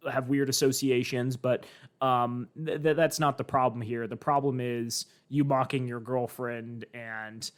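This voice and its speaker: A man co-hosting a casual podcast, giving measured, deliberate opinions. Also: medium pitch, fairly even intonation. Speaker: podcast co-host.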